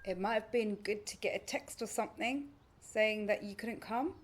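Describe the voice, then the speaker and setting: A woman's voice: mid-range voice, moderate pace, close to an everyday voice. A woman playing a lonely, earnest would-be girlfriend in a naturalistic audio play, speaking tentatively and pleadingly. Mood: gently pleading. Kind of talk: naturalistic audio drama acting.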